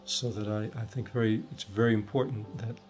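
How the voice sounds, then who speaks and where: Man from the US, first language Hebrew, lowish voice, thoughtful and halting; faint music under. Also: fairly even intonation, darkish tone. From United States. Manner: thoughtful, halting, many restarts and fillers. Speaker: interviewee. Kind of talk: documentary interview.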